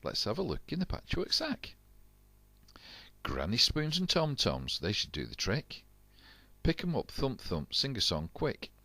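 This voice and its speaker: A plucky, unflappable boy sailor, put on by a grandpa reading a storybook. He chants his lines in a bouncy sing-song, lifted high and swooping wildly. The sound is close and dry. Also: huge pitch swings, measured pace, sharp tone, dry recording, heavily acted. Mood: chanting.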